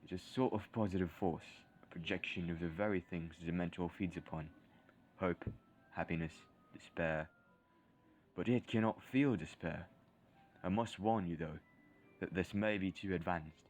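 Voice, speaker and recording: A man's voice: deep voice, moderate pace, darkish tone. A man playing a patient, grave wizard teacher in a fan audio drama. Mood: grave, instructive.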